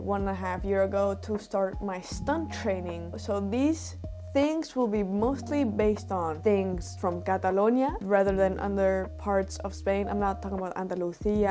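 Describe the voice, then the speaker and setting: A young woman from Iceland, vlogging casually to camera and acting out the people she quotes. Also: mid-range voice. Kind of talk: casual vlog talk to camera. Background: soft background music.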